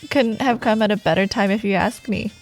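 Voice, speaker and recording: A woman playing a proud, teasing yet wistful rival coworker in an intimate ASMR romance roleplay monologue, with playful banter, sighs and soft pauses. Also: mid-range voice, faint music behind, natural voice. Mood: warm, flirty. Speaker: audio roleplay performer.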